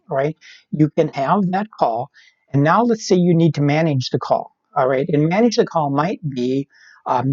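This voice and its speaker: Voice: medium pitch, a little mellow, no room sound, highs cut off. A middle-aged instructor giving live online tech training step by step, often checking in with "right?" as they explain.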